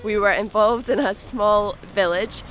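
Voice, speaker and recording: A woman's voice: mid-range voice, steady tone, a little slow, fairly bright tone. Scottish woman with faint traffic behind. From Scotland. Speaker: youth congress delegate. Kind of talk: speaking to camera in interview. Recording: faint urban traffic noise.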